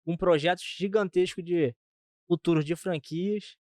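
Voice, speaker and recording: Joking Brazilian male co-host, higher voice, dry close-miked sound. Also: measured pace, a little mellow. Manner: playful, echoing others' words. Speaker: podcast co-host. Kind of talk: casual chat.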